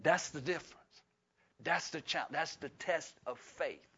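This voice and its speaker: Older preacher man, folksy and digressive, lots of uhs, kinda bright and forceful. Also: a little high, unhurried, a little hiss or hum. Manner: folksy, digressive, frequent fillers and restarts. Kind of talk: Bible-study sermon. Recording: dull lo-fi recording.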